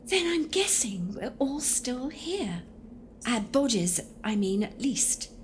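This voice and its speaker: A woman playing a calm, wistful, measured ghost in an audio play. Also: fairly high pitch, a little hiss or hum. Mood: resigned, pondering. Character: calm, thoughtful ghost of a murdered household member. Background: music.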